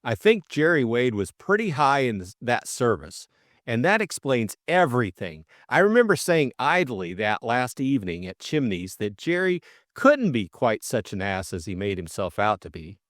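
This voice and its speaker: A man voicing a breezy, excitable young English gentleman sleuth in an audiobook, full of upper-class slang and exclamations. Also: medium pitch, normal speed, no echo, studio quality. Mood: shrewd, earnest.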